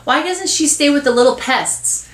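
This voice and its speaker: A woman's voice: mid-range voice, normal speed, fairly bright tone, reverberant, lightly acted. A woman voicing a surly, argumentative boy who talks bluntly and dismissively in a storybook reading. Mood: indignant.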